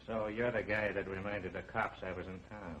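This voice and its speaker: Guy playing slangy tough crook, proud and sore, lowish voice, dull old film sound. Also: fairly even intonation, moderate pace, a bit bright, natural voice. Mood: aggrieved. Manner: slangy, gruff gangster talk. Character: aggrieved, proud tough-guy safecracker gunman gone straight. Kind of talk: 1930s film acting.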